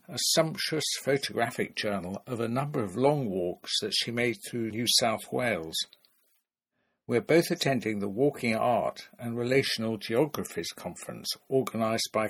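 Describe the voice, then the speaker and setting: A man's voice: medium pitch, a bit bright, breathy edge. A man hosting a walking podcast, interviewing a guest while walking outdoors through city streets. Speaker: podcast host. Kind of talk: scripted intro then casual interview.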